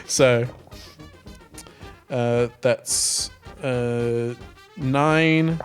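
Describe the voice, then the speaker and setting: A man's voice: mid-range voice, fairly even intonation, extremely slow, soft background music. A man chatting casually as he plays an improvised tabletop game, thinking aloud in hesitant, broken phrases.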